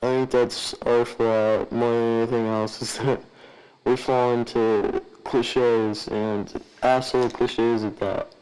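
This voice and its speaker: A man giving casual comedic commentary with a crude, deliberate way of talking. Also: mid-range voice, level tone, a little mellow, clean tone, faint music behind, poor audio. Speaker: podcast cast member.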